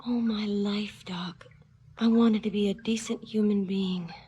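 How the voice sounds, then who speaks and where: An actress playing a crime boss's wistful, wary mistress in a seventies radio drama, confiding in hushed tones that often slip into whispers. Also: female voice, mid-range voice, fairly even intonation, measured pace, a little hiss or hum, lightly acted. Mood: anxious, loving. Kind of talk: 1970s radio drama acting, intimate confessional monologue and dialogue.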